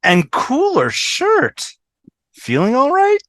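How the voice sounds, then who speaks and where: A woman's voice: sits high, wildly swooping pitch, slow pace, dry recording, studio quality, full character voice. Woman playing a sardonic woman with swooping intonation. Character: sardonic, bossy, casual young woman. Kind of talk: fan table read of a TV sitcom.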